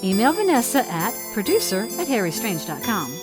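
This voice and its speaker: Lady announcer reading radio show credits, lively up and down delivery, music playing behind her. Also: mid-range voice, a little fast. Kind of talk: scripted narration. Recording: music under the voice.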